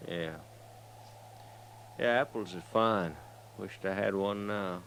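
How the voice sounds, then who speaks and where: An actor in an old-time radio western playing a menacing, mock-friendly outlaw with a rural American Western drawl. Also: male voice, deep voice, slow pace, a bit bright, exaggerated voice. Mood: sly, casual. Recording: noisy old radio recording.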